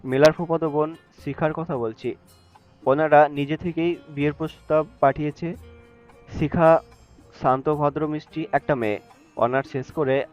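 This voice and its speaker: A man's voice: a little high, fairly even intonation, normal speed, long pauses, a little mellow, natural voice. A man acting an irritable, insistent father in an audio family drama. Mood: persuasive. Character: insistent, irritable father pushing his son to marry. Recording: dull muffled audio with music.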